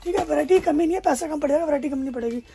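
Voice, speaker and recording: High-voiced fast-talking shopkeeper man. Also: vocal fry, warm tone, slight background noise. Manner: emphatic, repeats prices and wholesale-only terms. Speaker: wholesale shopkeeper. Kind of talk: rapid sales pitch listing prices. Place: crowded indoor market shop.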